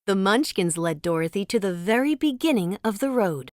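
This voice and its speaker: A woman narrating a read-along children's storybook with expressive, dramatic storytelling. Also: mid-range voice, normal speed, dry recording, clean studio sound.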